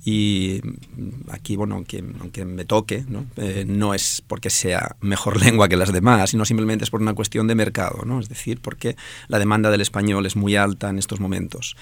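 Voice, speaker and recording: Gravelly-voiced Spanish man from Alicante. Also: on the low side, level tone, normal speed, no echo. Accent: Spanish from Spain. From Spain, Alicante. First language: Valencian/Catalan. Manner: thoughtful, many hesitations and tag questions. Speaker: Spanish professor, guest. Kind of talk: interview answers.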